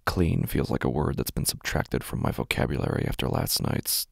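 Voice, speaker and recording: An extremely low-voiced man tells a horror story in the first person. His delivery is brooding and intense, full of heavy fry and sliding dramatically in pitch. He sits close to the microphone. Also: very animated, normal speed, no room sound. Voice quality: gravelly, husky, breathy at times. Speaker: horror podcast narrator.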